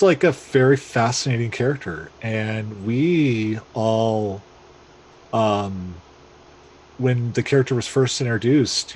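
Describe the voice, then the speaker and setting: A man player in a tabletop game stream, talking casually and haltingly. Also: medium pitch, slight background noise, low-quality recording. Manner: halting, lots of fillers and restarts. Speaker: player and talk show streamer. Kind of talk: casual chat.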